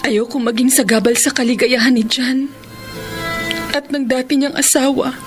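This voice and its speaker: A woman's voice: high voice, steady tone, moderate pace, close to an everyday voice. A woman acting a loving, self-sacrificing, heartbroken girlfriend in a sentimental radio drama, earnest, tender and plaintive. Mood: pleading, selfless. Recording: radio drama with music underneath.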